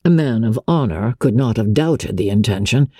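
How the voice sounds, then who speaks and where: Classic storybook gentleman officer performed by a woman: genteel, aggrieved, confiding, soft-edged husky delivery with expressive swings. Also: a bass voice, dry recording, studio quality, exaggerated voice. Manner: measured, plaintive, confiding. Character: smooth-talking, wronged young gentleman officer, wounded and genteel.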